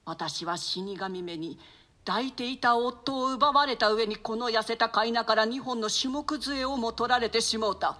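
A woman's voice: fairly high pitch, unhurried, bright, forceful tone. A woman acting a stern, grieving old duchess and grandmother in a classic stage play, speaking in a theatrical, archaic manner. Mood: grieving lament. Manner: lamenting, theatrical, admonishing.

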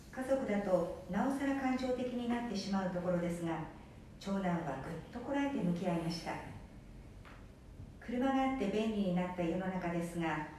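A woman hosting a radio program, gently explaining and introducing the drama. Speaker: radio show host. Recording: echoey room, distant mic, faint rumble.

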